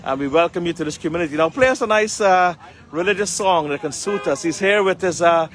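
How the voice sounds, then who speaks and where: A man's voice: high voice, brisk, fairly bright tone, faint music behind. A man live-streaming as a community leader, addressing viewers in earnest, formal public-speaker phrasing. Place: outdoors on a city street. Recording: outdoor street noise, passing vehicles. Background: street ambience and traffic.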